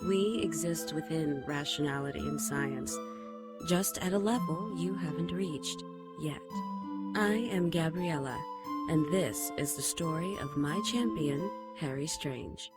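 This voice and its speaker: A woman's voice: low-pitched, unhurried, loud music behind the voice. A woman playing a solemn, regal immortal guardian in an audio drama, speaking in a grave, formal, stately way. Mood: grave, storytelling. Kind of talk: audio drama narration and acting.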